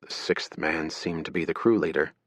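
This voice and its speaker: A man voicing a shaken young male hero who answers in quiet, terse words. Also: extremely low pitch, steady tone, moderate pace, slightly rough, dry recording. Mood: subdued.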